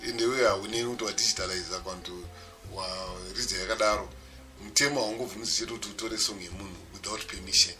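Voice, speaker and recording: Zimbabwean man, lowish voice, lecturing over voice note, distant mic, music underneath. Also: fairly even intonation, quick pace. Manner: mixes English and Shona mid-sentence, explanatory. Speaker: music producer giving a seminar. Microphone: phone.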